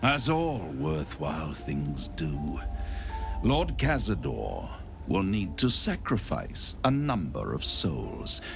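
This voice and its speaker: An actor playing a suave, menacing devil in a video game, speaking in a theatrical, deliberate and grandiloquent way. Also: male voice, a bass voice, vocal fry, full character voice. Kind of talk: dramatic video game acting.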